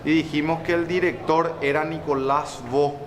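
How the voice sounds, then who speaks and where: A man's voice: fairly high pitch, fairly even intonation, moderate pace, crowd noise. A man hosting a travel show, interviewing a local with prompting questions and frequent "eh" backchannels.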